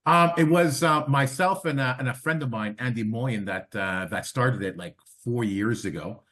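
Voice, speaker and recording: A man's voice: medium pitch, normal speed, a bit bright. A man hosting a casual interview podcast, talking enthusiastically and repeating phrases for emphasis. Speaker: podcast host.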